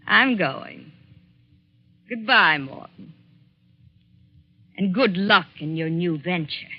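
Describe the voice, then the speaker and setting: A woman's voice: on the low side, animated, very slow, long pauses, heavily acted. An actress playing a young, bitter, jilted woman with controlled, pointed delivery in 1940s radio drama. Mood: icily vengeful. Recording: muffled 1940s radio recording.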